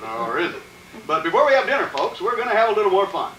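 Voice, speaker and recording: An actor playing a hearty, slyly amused party host in 1940s radio comedy style. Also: male voice, high voice, sing-song, quick pace, a bit bright. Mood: genial, amused. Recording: echoey stage, distant, faint noise.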